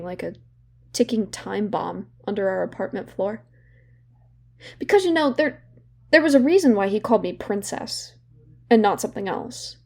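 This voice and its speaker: An actress playing a young woman in therapy in an audio drama, bitter and regretful, confiding in a halting, sardonic, conversational way. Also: medium pitch, a little mellow. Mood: bitter, reflective. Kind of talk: naturalistic audio drama monologue.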